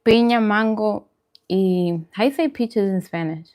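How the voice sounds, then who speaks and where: A woman's voice: medium pitch, a little slow, darkish tone, clean tone. A woman hosting a food channel, chatting casually and informally with viewers during a mukbang livestream.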